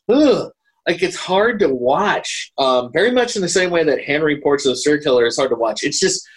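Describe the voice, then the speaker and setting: A man talking casually as a podcast co-host, haltingly, often repeating and correcting his words. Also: on the high side, rough recording. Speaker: podcast co-host reviewing horror films. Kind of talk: casual film discussion.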